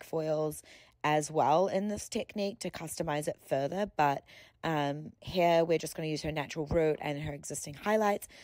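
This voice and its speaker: A woman's voice: sits low, darkish tone, no room sound, studio quality. A young woman giving a casual voice-over tutorial as a hair colourist, chatty and explanatory in an informal way.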